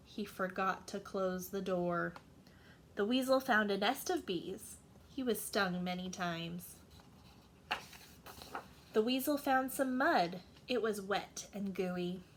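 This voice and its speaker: A woman's voice: medium pitch, slow, a little hiss or hum. A woman narrating a children's storybook as a teacher reading to young listeners.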